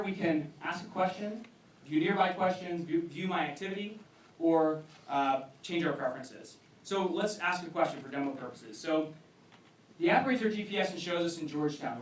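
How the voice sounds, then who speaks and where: A man presenting a pitch to a live audience as a startup founder, in an emphatic stage delivery. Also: a little hiss or hum. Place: indoor event venue, speaking to a crowd. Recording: roomy echoing event hall, distant.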